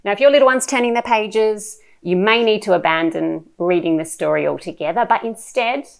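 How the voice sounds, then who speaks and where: A woman giving instructional tips to parents, explaining things clearly and stressing key points. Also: on the low side. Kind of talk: instructional talk to camera.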